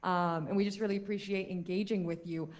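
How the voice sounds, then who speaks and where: Brief: young female meeting chair, gushing and warm-spoken, mid-pitched. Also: steady tone, a little fast. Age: young adult. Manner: effusive, upbeat, lots of praise. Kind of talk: running a student government meeting.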